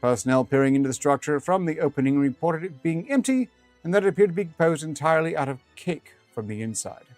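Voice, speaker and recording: A man's voice: on the high side, lively intonation, fast talker, a little mellow, dry recording. A man reading fiction aloud as a streamer, with dry, rambling asides in formal wording. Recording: music behind the voice.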